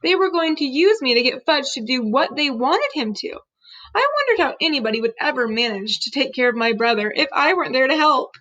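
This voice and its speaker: A woman narrates a children's book in a high voice. Her storytelling is expressive, with pitch that moves widely. The recording is a little dull and lo-fi. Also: a little fast. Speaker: storyteller reading aloud.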